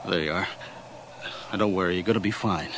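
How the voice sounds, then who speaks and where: A man's voice: sits low, brisk. An actor playing a practical rescue man, colloquial and placating, in a radio horror drama. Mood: soothing. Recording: noisy old radio drama recording.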